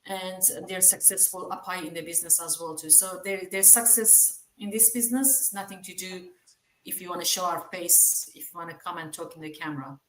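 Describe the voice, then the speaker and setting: A woman's voice: fairly low pitch, fairly quick, a bit bright, reverberant, low-quality recording. A woman with a Persian accent, speaking English as a second language, reassuring others in a casual group chat with many hesitations. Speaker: team member sharing experience.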